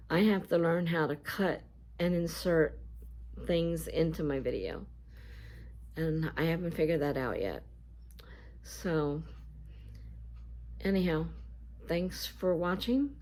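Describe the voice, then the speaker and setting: A woman with a scratchy voice talking casually to her viewers in a vlog, halting and full of fillers. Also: sits low, gravelly, darkish tone. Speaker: YouTuber. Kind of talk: casual talk to camera.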